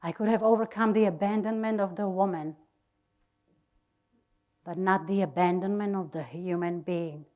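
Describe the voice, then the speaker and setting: A woman's voice: mid-range voice, steady tone, moderate pace, long pauses, lo-fi sound. A woman giving a dramatic reading of a personal diary to an audience. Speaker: reader at a literary event.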